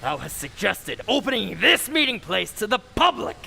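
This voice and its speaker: A man playing the vengeful ghost of a stern old Puritan founder in a radio horror play, gravelly and husky, with commanding archaic speech. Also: high-pitched, very animated, moderate pace, sharp tone, slight background noise, full character voice. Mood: menacing, accusing. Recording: long echoing reverb.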